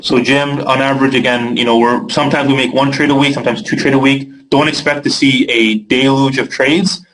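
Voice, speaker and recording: A man's voice: medium pitch, fairly even intonation, a little fast, hardly any pauses, a bit bright, low-quality recording. A young man from Canada with a Canadian accent hosting a live trading webinar, reading out viewer questions by name and answering them casually with filler words.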